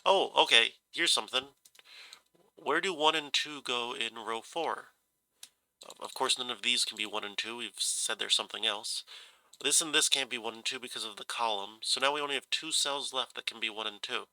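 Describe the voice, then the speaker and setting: Brief: congested man solving a puzzle aloud, bright gravelly tone, dry close sound, halting and self-correcting. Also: medium pitch, no echo, poor audio. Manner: hesitant, musing, self-correcting. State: stuffy nose, slightly scratchy voice from a cold. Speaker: puzzle solver.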